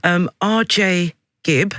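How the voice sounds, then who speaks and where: A man's voice: high voice, slow pace, bright, forceful tone, no room sound. A man hosting a radio interview in a studio, speaking in a direct, effusive, emphatic way. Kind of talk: broadcast interview chat.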